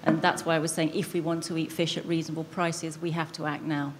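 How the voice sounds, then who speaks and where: A woman's voice: deep voice, flat delivery, fast talker, a little hiss or hum. A woman on a debate panel, talking hesitantly with stumbling restarts.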